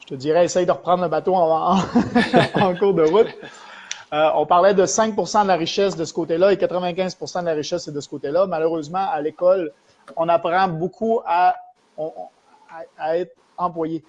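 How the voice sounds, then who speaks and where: A Québécois man with a notably high voice hosts a live stream. He explains money matters and talks straight to the viewers. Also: fairly even intonation, brisk, darkish tone, lo-fi sound. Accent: Québécois French. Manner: explanatory, addresses viewers directly, thanks them for likes. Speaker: host of a live stream show.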